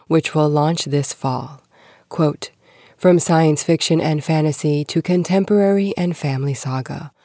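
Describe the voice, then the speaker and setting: A woman hosting a podcast, reading scripted news in a measured, clearly phrased way. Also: deep voice, mellow, dry recording, studio quality. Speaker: podcast host.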